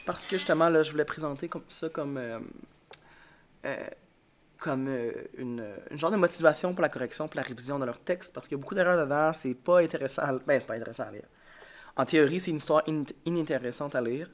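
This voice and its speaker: Tired, congested Quebec teacher. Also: male voice, a little high, a little fast. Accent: Quebec French. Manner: chatty, rambling, tired and frank. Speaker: elementary school teacher. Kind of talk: casual vlog talk to camera. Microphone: camera mic.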